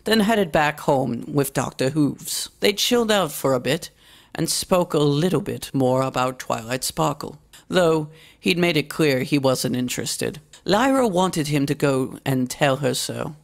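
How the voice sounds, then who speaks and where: A woman's voice: low-pitched, expressive, a little slow, creaky. A woman reading fiction aloud as a scripted story narration. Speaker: story reader.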